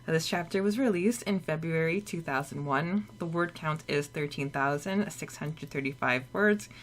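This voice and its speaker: Low-voiced woman podcast host. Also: moderate pace, slight background noise. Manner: chatty with fillers, then steady reading. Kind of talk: casual chat and read chapter summary.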